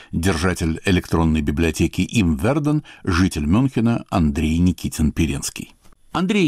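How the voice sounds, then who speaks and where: A male host reading a measured, literary narration and then putting a question to a guest. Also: fairly low pitch, darkish tone, no echo, clean studio sound. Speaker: radio program host. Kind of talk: scripted narration then interviewing.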